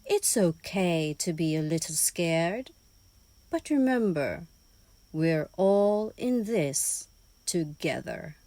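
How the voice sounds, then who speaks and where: A woman voicing a kindly, wise old male owl teacher in a children's story, measured and welcoming. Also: on the low side, expressive, warm tone, no room sound, voice pushed down.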